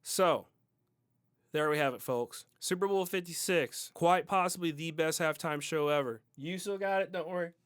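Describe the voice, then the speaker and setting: A man's voice: on the high side, normal speed, a bit bright, clean tone, no echo, clean studio sound. A man hosting a music podcast, giving a casual, enthusiastic review and repeating words for emphasis.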